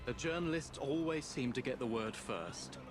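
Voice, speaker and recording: An actor playing a weary male police inspector in a video game, speaking in a grave, measured, formal way. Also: medium pitch, expressive, music in the background, lightly acted. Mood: somber. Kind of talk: video game cutscene acting.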